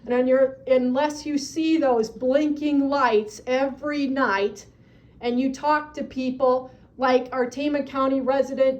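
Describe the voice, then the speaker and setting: Earnest high-voiced woman giving public comment. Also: darkish tone, dull audio. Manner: earnest, persuasive advocacy. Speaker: citizen addressing county supervisors. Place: county meeting room.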